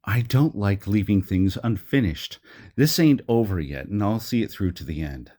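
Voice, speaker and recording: Gruff gun-for-hire with a code, male actor, audio drama: very deep, tough, plain-spoken. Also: animated, moderate pace, mellow. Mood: gruff, dismissive. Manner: blunt, colloquial, tough. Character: gruff, self-deprecating hired gun with a code.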